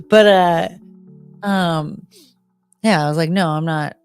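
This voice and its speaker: A woman's voice: mid-range voice, sing-song, extremely slow, faint music behind. An American woman hosting a live stream, chatting in a rambling, unfiltered way with casual swearing. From United States.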